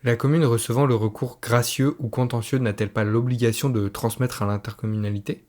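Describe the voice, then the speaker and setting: A man's voice: mid-range voice, steady tone, brisk, a little mellow. A man acting as interviewer, putting formally worded, scripted questions.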